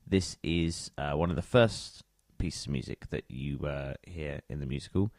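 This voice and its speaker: A man with a gravelly voice, casually presenting a music show as its host. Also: extremely low pitch, moderate pace, darkish tone, dry recording, studio quality. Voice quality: gravelly, creaky.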